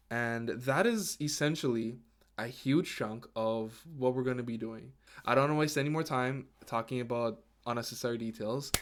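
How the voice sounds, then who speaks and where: Earnest young man hosting a podcast. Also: mid-range voice. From Guyana. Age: 20s. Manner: earnest, halting, with restarts and fillers. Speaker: podcast host. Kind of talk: casual solo podcast talk.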